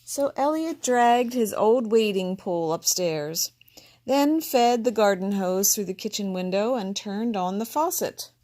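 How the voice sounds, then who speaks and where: A woman reading a children's picture book aloud in a gentle, expressive way. She narrates slowly and gives each character a voice of its own. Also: mid-range voice. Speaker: storybook reader.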